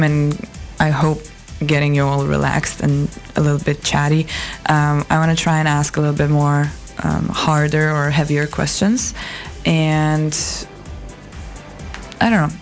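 Low-voiced woman hosting a studio talk. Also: creaky. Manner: friendly, guiding questions, quick asides. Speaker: host.

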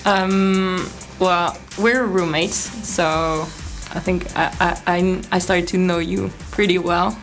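Guest, female, young, from France, flat low-ish voice, sincere, music under. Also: takes it slowly, hardly any pauses. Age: young adult. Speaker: guest, student. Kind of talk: casual chat.

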